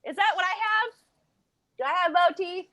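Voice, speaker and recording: A woman's voice: very high voice, normal speed, bright, forceful tone, no echo, clean studio sound. A woman bantering as a panelist in an improvised comedy game show, on a very noisy, clicky recording.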